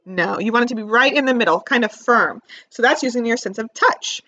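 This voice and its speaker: A woman teacher reading aloud to young children, expressively stressing key words and pausing to ask simple questions. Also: on the high side, bright, forceful tone, lo-fi sound. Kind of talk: read-aloud lesson with questions to young listeners.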